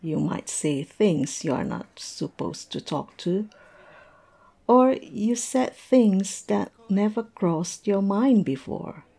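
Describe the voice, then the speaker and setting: Woman acting a mellow, creaky-voiced tipsy coworker. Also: mid-range voice, expressive, slow, exaggerated voice. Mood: reflective. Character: tipsy, observant young woman chatting with coworkers over drinks. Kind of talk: intimate audio roleplay, conversational monologue.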